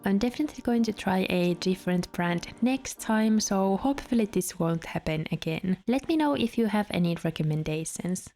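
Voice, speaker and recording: Mellow-voiced woman narrating a craft vlog. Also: mid-range voice, expressive, moderate pace, hardly any pauses, faint music behind. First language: Finnish. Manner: chatty, light laughs. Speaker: journaling vlogger. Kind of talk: scripted voice-over narration.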